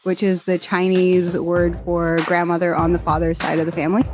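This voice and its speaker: A woman's voice: on the low side, monotone, brisk, over music. A woman giving a short explanatory contribution for a podcast introduction.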